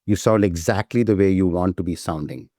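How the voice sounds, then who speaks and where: A man's voice: low-pitched, brisk, mellow, dry recording, clean studio sound. A man talking as a startup CEO guest in a casual interview, keen and eager, often tagging his sentences with "right?". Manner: enthusiastic, repeats "right?" as a tag.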